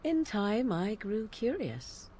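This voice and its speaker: An actress playing a proud, sardonic young witch of the wilds in a fantasy game, speaking in formal, archaic phrasing with deliberate care. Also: medium pitch, very animated, dark tone, a little hiss or hum. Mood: wry, reflective.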